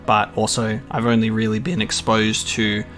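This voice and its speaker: Halting young Australian man over guitar music. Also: on the low side, completely flat delivery, fairly bright tone. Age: 20s. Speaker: YouTube gaming channel creator. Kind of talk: casual chat to subscribers.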